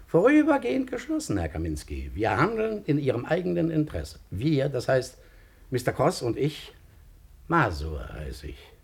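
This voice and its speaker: Gravelly, menacing gentleman interrogator. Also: medium pitch, wildly swooping pitch, normal speed, full character voice. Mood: amused menace. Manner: insinuating, mock-polite. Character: suave, quietly menacing male lawyer interrogating a witness. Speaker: character in a crime radio play. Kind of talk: 1950s German radio play acting.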